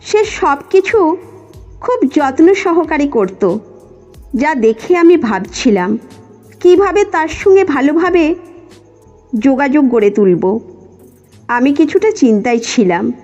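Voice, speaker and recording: A woman's voice: sits high, sing-song, takes it slowly, pauses often, mellow. A woman reading a scripted first-person story as its narrator. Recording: muffled lo-fi audio with music. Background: background music.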